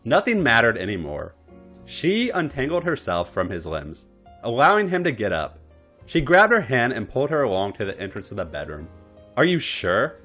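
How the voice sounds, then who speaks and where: A man reads a romance story in a low, theatrical voice. His pitch rises and falls dramatically, and music plays underneath. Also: a bit bright. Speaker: podcast story reader. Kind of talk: dramatic narration of erotic fan fiction.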